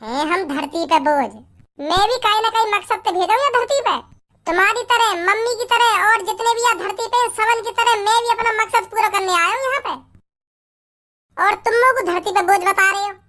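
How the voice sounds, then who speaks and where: A man playing a cheeky, whiny young son in a comedy cartoon, with a pitched-up voice. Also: fairly quick, sharp tone. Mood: indignant. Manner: whiny, argumentative, repetitive.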